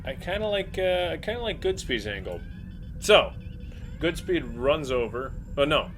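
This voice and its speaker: A man playing a tabletop roleplaying game, narrating his moves in casual, halting, joking chat over a noisy recording. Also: big pitch swings, a bit bright. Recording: noisy group recording, constant ambient rumble.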